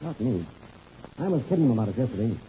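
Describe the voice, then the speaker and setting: An actor playing a confident, shrewd mentor pilot in a 1930s radio adventure serial, brisk and colloquial, on a noisy old recording. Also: male voice, mid-range voice, sing-song, mellow, natural voice. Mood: puzzled, wary.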